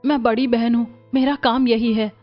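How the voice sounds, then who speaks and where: Woman playing a dutiful high-voiced young sister. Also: fairly even intonation, moderate pace, lo-fi sound, soft background music, close to an everyday voice. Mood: earnest, wary.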